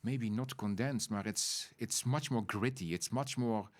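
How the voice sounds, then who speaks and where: A middle-aged man, co-hosting an informal podcast and talking in halting bursts full of restarts and tag questions. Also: medium pitch. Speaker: podcast co-host. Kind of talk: informal unscripted conversation.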